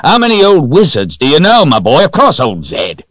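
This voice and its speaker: Jolly old man, high swooping voice, hearty, folksy, teasing, storybook character. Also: wildly swooping pitch, moderate pace, a bit bright, dry recording, clean studio sound, heavily acted. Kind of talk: audiobook character voice.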